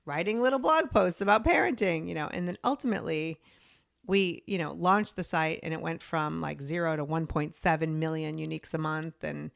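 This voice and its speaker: Chatty woman with a low, gravelly voice. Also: brisk, no echo, studio quality. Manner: chatty, full of "you know" and "like", restarts mid-sentence. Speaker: podcast and media coach. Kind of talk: casual interview storytelling.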